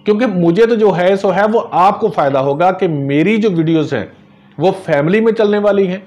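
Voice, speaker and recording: A middle-aged herbalist speaks earnestly to a fixed camera at his desk, in a slightly raised pitch. He gestures as he walks viewers through a home remedy, slipping religious phrases into his advice. The sound is that of a small office lined with framed photos. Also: a little mellow.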